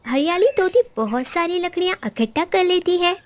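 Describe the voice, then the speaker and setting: A woman's voice: very high-pitched, lively intonation, moderate pace. A woman narrating a scripted children's bird story.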